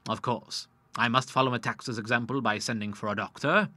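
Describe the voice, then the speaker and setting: Man voicing a genial old lawyer, learned, amiable, bright crisp tone, dry sound. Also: medium pitch, steady tone, a little fast, no echo. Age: older. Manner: genial, fond of Latin tags.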